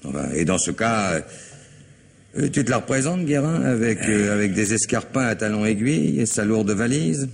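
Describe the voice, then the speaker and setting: An actor playing a methodical, insistent police chief in an old French radio crime drama, probing and thinking aloud. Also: male voice, lowish voice, lively intonation, normal speed, creaky, slight background noise. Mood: methodical, reasoning. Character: gruff, methodical male police chief leading an investigation.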